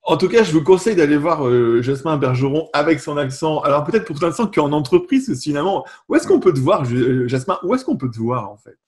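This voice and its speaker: Fairly high-voiced male interview host. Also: lively intonation, fast talker, a little mellow. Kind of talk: interview hosting.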